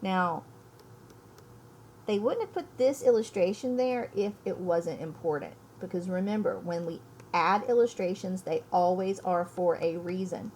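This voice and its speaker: A woman's voice: fairly low pitch, measured pace, a little mellow. Woman teacher reading aloud, faint background noise. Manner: emphatic, thinks aloud, poses questions to listeners. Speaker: elementary school teacher. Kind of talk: read-aloud lesson, storybook reading and explaining.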